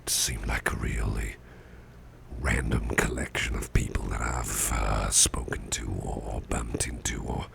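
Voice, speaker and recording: An extremely low, heavily creaking male voice acted by a man for an ailing, genial boss in an ASMR roleplay. He rambles in a folksy half-whisper, slowly and with long pauses, close on the mic. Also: little pitch movement, background noise, full character voice. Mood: puzzled, musing. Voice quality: very deep, gravelly, breathy half-whisper. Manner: slow, halting, folksy musing with pauses. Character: sickly, gruff but genial male boss. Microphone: close ASMR microphone.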